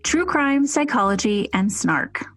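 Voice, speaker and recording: A woman's voice: fairly high pitch, expressive, measured pace, soft background music. A woman co-host delivering a scripted podcast promo.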